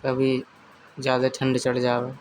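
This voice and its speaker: A man's voice: mid-range voice, completely flat delivery, measured pace, close to an everyday voice. A man as a teasing boyfriend, noisy phone call. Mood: teasing, casual.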